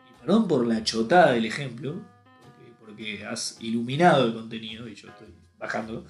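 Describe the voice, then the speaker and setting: A man's voice: mid-range voice, brisk, roomy sound, faint music behind. A man, hosting a podcast in a chatty, joking way. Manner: chatty, jokey, plays voices in retellings. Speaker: podcast host. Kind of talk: casual podcast conversation.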